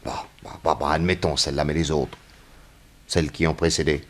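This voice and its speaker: An actor playing a stern, shrewd investigator who questions insistently and sardonically in a 1960s French radio crime drama. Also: male voice, very deep voice, little pitch movement, brisk. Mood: sardonic pressing. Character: stern, shrewd male investigator grilling a young suspect.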